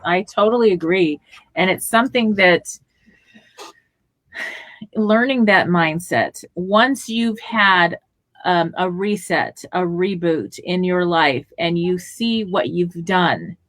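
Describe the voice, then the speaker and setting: A woman hosting a radio talk show, chatting slowly and affirming her guest. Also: lowish voice, fairly bright tone, no echo. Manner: slow, affirming, encouraging. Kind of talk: casual interview chat.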